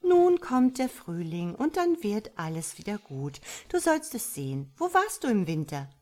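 Woman as a thoughtful starling, lightly high-pitched. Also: lively intonation, unhurried, a little mellow. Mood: consoling. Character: thoughtful, politely consoling male starling, easygoing storybook bird.

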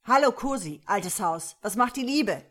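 Frau spielt einen bellenden, spöttischen Kriminalkommissar. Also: female voice, on the low side, measured pace, fairly bright tone. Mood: taunting. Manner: barking, jovially taunting. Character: barking, taunting male police detective with crude humour.

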